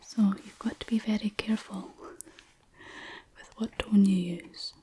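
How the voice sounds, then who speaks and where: A woman gently giving a whispered ASMR language lesson as a tutor, unhurried and instructional. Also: medium pitch, fairly even intonation, slightly rough.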